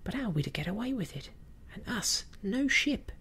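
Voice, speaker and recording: A man voicing a rough, excitable pirate mutineer in a storybook, with husky, pitched-up ungrammatical sailor talk. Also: moderate pace, slight background noise, exaggerated voice. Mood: excited.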